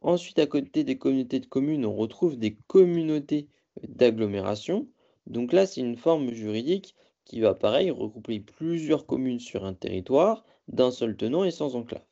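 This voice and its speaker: Gravelly, mellow man giving a lecture. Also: mid-range voice, animated, muffled recording. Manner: halting, self-correcting delivery. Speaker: presenter of a training course on local government. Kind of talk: explanatory slide lecture, semi-improvised.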